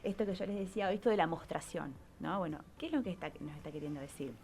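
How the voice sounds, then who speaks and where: A woman with a slightly low voice and an Argentine accent speaks over clear background noise. She explains things like a psychologist in a radio column, didactically, punctuating her phrases with little questioning tags. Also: fast talker, darkish tone. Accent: Argentine Spanish. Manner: didactic, often ending phrases with check-in tags. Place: radio studio. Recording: clearly noisy radio recording.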